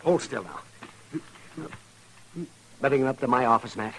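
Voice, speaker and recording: An actor plays a stubborn male frontier doctor with a raspy, mid-pitched voice. He is impatient but dedicated. The style is that of a 1950s western radio play. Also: moderate pace, lightly acted, poor audio. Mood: brisk, concerned.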